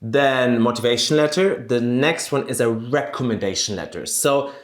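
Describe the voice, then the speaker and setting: A man's voice: mid-range voice, moderate pace, echoey room. A man from Germany with a German accent, a native German speaker, explaining things step by step as a career coach in an emphatic, instructive way. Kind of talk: explanatory video guide to camera.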